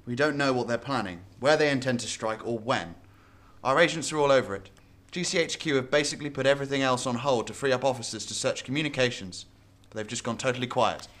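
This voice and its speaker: A man acting a brisk, clipped senior intelligence chief under pressure in a radio drama. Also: mid-range voice, fairly bright tone, close to an everyday voice. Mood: tense, defensive.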